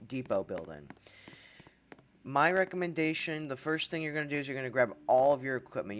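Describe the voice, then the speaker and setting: A man's voice: medium pitch, a bit bright. A young man from Hungary giving a casual game tutorial voice-over, chatty, with wry asides and frequent ums. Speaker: gaming tutorial creator.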